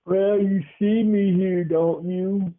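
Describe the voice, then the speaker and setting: A storyteller voicing an older, very tall homeowner who talks bluntly with a slow drawl in a spooky tale. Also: medium pitch, fairly even intonation, pitched above the performer's own voice, close to an everyday voice. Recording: tinny phone-like audio.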